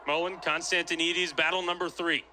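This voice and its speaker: A man's voice: a little high, level tone, normal speed. A young man calling a game live as play-by-play announcer, in clipped, descriptive phrases. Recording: loud crowd and arena noise.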